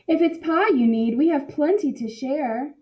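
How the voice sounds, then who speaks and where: A woman voicing kind, welcoming woodland animals in a children's storybook, with a gentle, encouraging manner. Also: high voice, lively intonation, a little slow, voice pushed up. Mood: eager, encouraging.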